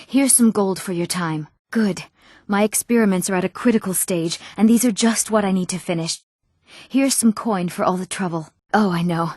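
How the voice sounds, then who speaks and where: An eager young townswoman from a fantasy role-playing game, played by an actress. She speaks earnestly and articulately at a middling pitch, with each line recorded dry and close, free of room sound. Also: moderate pace, a little mellow, dry recording, natural voice. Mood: pleased, grateful. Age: young adult. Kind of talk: scripted fantasy video game dialogue.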